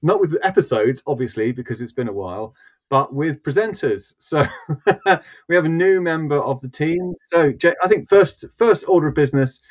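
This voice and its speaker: A man's voice: fairly high pitch, expressive, normal speed. Man, podcast host, jokey, hesitant, lots of restarts and fillers. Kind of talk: casual podcast chat.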